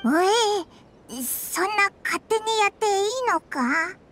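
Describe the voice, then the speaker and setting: A woman voicing a tiny, blunt, excitable fairy girl in an anime game, with a squeaky childlike voice. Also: takes it slowly, faint music behind, exaggerated voice. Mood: indignant, puzzled. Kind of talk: Japanese anime game voice acting.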